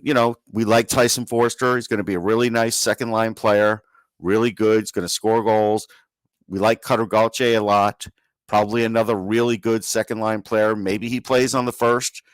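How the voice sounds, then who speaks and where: Man, low-set voice, dry sound, casual sports analysis, opinionated, emphatic, conversational. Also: fairly even intonation, normal speed, no echo. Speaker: sports podcast co-host. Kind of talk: casual hockey analysis chat.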